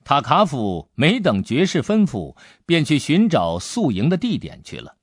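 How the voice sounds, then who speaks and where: A man narrating an audiobook adventure story in an expressive, dramatic storytelling manner. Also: on the high side, a little slow, no room sound, clean studio sound.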